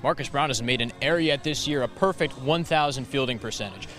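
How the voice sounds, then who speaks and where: A man's voice: mid-range voice, a little fast, fairly bright tone, soft background music. An American man working as a sports announcer and reporter, with a broadcast delivery. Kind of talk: play-by-play, interviewing and scripted reporting.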